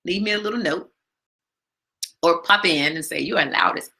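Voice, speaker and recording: Woman, live-stream host, bright forceful tone, low pitch, chatty with fillers. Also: normal speed, vocal fry. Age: adult with a daughter. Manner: chatty, many fillers, big loud voice. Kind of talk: casual live-stream chat. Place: home, not her usual studio.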